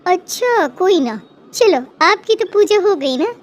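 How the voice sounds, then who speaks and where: A woman's voice: extremely high pitch, animated, a little slow. A woman voicing a chatty, sympathetic gossip in a Hindi cartoon story. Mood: pitying, gossipy. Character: chatty, sympathetic gossiping female temple friend.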